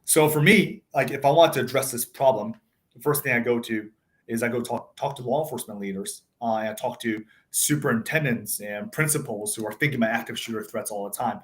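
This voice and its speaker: A man in his thirties, born in Taiwan and raised in South Africa, telling his story as a startup founder in a casual interview, chatty with frequent fillers. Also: mid-range voice. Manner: conversational, many fillers and restarts. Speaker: tech startup CEO, guest.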